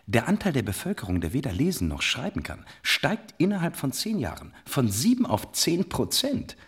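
An actor playing an emphatic, fast-talking journalist in a radio drama, with a husky voice. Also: male voice, mid-range voice, huge pitch swings, exaggerated voice.

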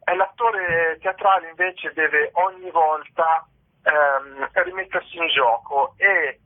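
Man on a tinny phone line, higher-pitched voice. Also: steady tone, slow. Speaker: interviewed theatre company co-founder and artist. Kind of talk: radio phone interview, explaining own work.

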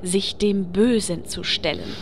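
A woman playing a pragmatic, deadpan fantasy game adventurer who leads her group, in a comedy audio play. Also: medium pitch, measured pace, a little hiss or hum, lightly acted. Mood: matter-of-fact. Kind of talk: audio comedy parody acting.

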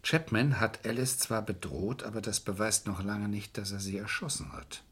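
Husky, low-voiced, shrewd persuader. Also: male voice, normal speed, a bit bright. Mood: persuasive. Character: shrewd, persuasive male defender, calculating and courteous. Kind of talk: German crime radio play acting. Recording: vintage radio play recording.

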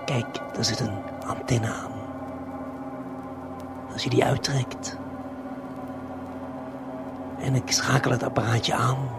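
A man narrates a surreal audio play straight to the listener, with intimate, deliberate, hypnotic phrasing. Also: mid-range voice, level tone, creaky. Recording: music under the voice. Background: music.

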